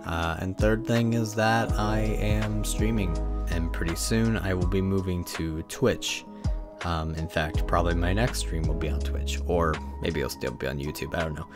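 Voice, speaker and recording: A man who is sick, giving a casual, low-key, apologetic outro as a video creator. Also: normal speed. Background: music.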